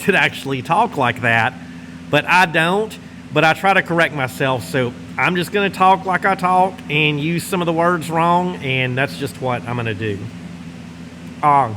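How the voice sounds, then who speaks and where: Casting: middle-aged Louisiana drawl, folksy, rambling host, a touch high for a man, heater humming behind. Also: poor audio. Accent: Northwest Louisiana Southern drawl. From Northwest Louisiana. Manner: easygoing, meandering, folksy drawl, pauses while smoking a cigar. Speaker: channel host. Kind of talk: casual rambling chat to camera. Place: large insulated home garage workshop, indoors. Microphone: camera close in front, seated. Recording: steady heater hum behind voice. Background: heater running.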